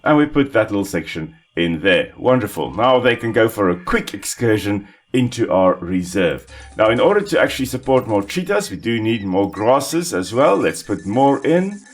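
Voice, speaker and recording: A man, an Afrikaans speaker, calmly explaining ecology while playing a simulation game, with small restarts. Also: lowish voice, moderate pace. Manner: explanatory, calm, with small self-corrections. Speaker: ecologist gaming host. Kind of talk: educational let's-play commentary.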